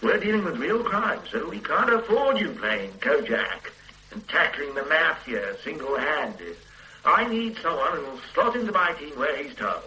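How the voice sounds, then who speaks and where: Radio play: stern senior cop, mocking, bright and animated. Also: male voice, fairly high pitch, heavily acted, poor audio. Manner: lecturing, brusque, mocking. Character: stern middle-aged male police superintendent boss. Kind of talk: 1980s radio drama acting.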